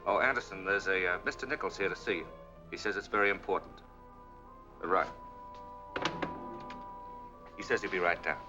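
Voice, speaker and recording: A man's voice: low-pitched, fast talker, over music, close to an everyday voice. An actor playing a courteous, efficient male hotel clerk with formal manners, in a dull-sounding old 1940s film. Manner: polite, formal.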